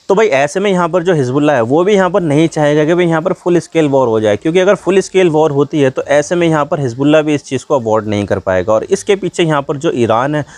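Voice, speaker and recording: A man's voice: a little high, darkish tone, dry recording. A man from India gives a conversational explainer, addressing viewers colloquially and leaning on repeated filler phrases. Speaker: news explainer host.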